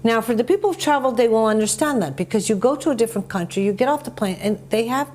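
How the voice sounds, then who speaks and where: Animated woman hosting a talk show. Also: fairly high pitch, racing. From North America. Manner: animated, emphatic storytelling with acted-out quotes. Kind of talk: conversational TV interview, telling anecdotes.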